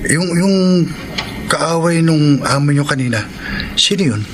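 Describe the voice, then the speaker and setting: An actor playing a polite, hesitant young son with a Filipino accent, respectful and unsure in a radio drama. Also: male voice, fairly high pitch, a little slow, natural voice. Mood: respectful, earnest. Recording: clear background noise and ambience.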